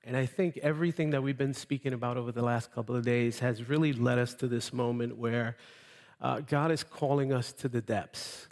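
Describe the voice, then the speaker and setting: Long reverb of a church hall surrounds a middle-pitched man in his late forties. He is a guest preacher from Honduras, speaking informally to a congregation. His delivery is conversational and somewhat halting, with phrases repeated for emphasis. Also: fairly even intonation, darkish tone. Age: late 40s. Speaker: visiting preacher.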